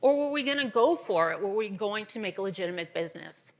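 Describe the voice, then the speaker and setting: A woman's voice: mid-range voice, expressive, fast. A middle-aged woman with a Boston accent, telling her story as a keynote speaker to an audience.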